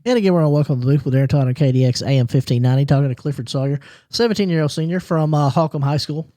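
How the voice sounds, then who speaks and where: A man hosts a local radio interview show, his voice sitting fairly high but sounding warm and mellow. He asks questions haltingly, with many "uh"s and regular station IDs. The booth sound is close and dry. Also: no echo. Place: small radio studio booth.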